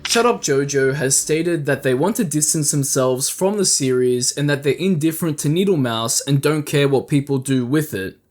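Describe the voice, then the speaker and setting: A man's voice: fairly high pitch, normal speed, few pauses. A man narrating a scripted video essay as a YouTube host, conversational with playful asides.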